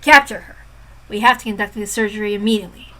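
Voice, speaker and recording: A woman voicing a cold, calm male officer who gives curt, brisk orders. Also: medium pitch, slight background noise, lightly acted, low-quality recording. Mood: commanding.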